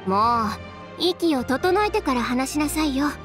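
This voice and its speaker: An actress playing a confident, sharp-tongued young fashion designer in a video game, brisk, matter-of-fact and dryly teasing. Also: female voice, high-pitched, animated. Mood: brisk concern. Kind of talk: video game voice acting in Japanese. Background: game music.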